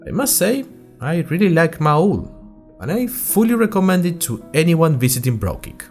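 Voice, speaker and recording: A man's voice: on the high side, moderate pace, faint music behind. A man playing a curious young student journalist, narrating his adventures in a chatty, wry way as host of a fictional podcast. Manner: chatty, wry, expressive storytelling. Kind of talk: scripted first-person audio drama narration in chatty podcast form.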